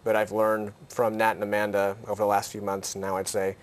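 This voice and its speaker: Low, flat-voiced young-sounding man. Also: brisk. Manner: casual, many "like" fillers. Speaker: media collective member. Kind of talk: casual interview conversation.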